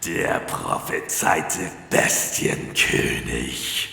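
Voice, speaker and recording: Gravelly, cavernous werewolf beast king. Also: sharp tone, full character voice. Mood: menacing, gloating. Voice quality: very deep gravelly growl. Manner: slow, menacing, taunting. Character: menacing male werewolf beast king, enemy of mankind. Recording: heavy echoing reverb over music.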